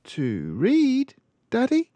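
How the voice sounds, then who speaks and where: A man's voice: very high voice, huge pitch swings, very slow, mellow, dry recording, voice pushed up, full character voice. A man reading a storybook voices a polite, eager four-year-old girl, speaking earnestly. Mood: earnest, pleading.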